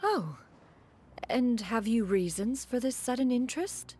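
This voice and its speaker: A woman's voice: fairly high pitch, lively intonation, a little hiss or hum. A woman voicing a composed, protective female elder in a video game, speaking in a formal, stately manner. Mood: mildly surprised. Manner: stately, formal, unhurried, refers to self as "one". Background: loud music.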